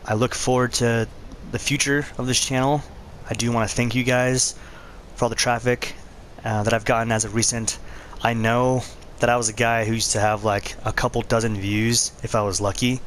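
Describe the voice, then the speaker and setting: A man with a hoarse, worn-out voice hosting a casual gaming vlog, talking in a laid-back, conversational way. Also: mid-range voice, fairly even intonation, moderate pace, a bit bright, slight background noise. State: hoarse, losing his voice. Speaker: channel host.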